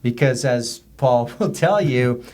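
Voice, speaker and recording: A man's voice: medium pitch, lively intonation, slow, darkish tone. An American man speaks as a podcast guest in an unscripted, conversational way. He unfolds anecdotes loosely, circling through examples and details as he explains. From US border region. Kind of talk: casual anecdotal storytelling.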